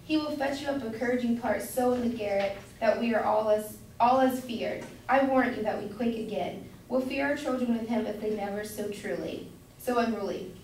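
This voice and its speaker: A woman's voice: on the high side, brisk, a little mellow, close to an everyday voice. A woman playing an eager, chatty, naive grocer's wife in an amateur staged reading of an old comedy, gushing and insistent. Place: indoor room at a college, far from mic. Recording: distant echoey room, faint noise.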